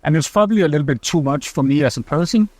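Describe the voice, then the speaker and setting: A man's voice: a little high, fast talker, darkish tone, dry recording. A man co-hosting a podcast, speaking in a thoughtful, reflective way. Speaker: podcast co-host. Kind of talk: casual chat.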